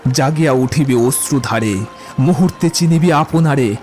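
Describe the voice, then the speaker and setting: An actor playing a witty, poetry-loving young romantic suitor in an audio drama, with eloquent, theatrical delivery. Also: male voice, on the high side, moderate pace, darkish tone, soft background music, natural voice. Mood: ardent, declamatory. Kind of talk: Bengali audio drama acting.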